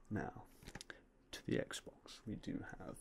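A young man softly whispering in a polite ASMR roleplay as a game store clerk, close to a binaural mic. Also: extremely slow. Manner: soft, polite, mostly unvoiced whispering.

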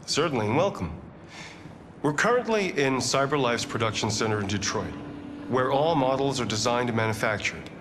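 Self-assured tech founder played by a man, lively intonation. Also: mid-range voice, close to an everyday voice. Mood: confident, explanatory. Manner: measured, confident, explanatory. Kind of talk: scripted game interview. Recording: clear ambient noise behind voice.

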